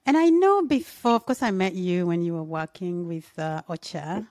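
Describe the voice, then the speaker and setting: A woman interviewer with a lowish voice, recorded dry and close with no room sound. She leads the conversation easily, affirming her guest and filling pauses with small hesitations. Her questions come in a loose, conversational flow. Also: a little mellow, dry recording. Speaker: interviewer, host.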